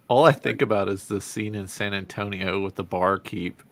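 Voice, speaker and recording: A man's voice: on the low side, steady tone, unhurried. A man speaking casually as a panelist in a book discussion.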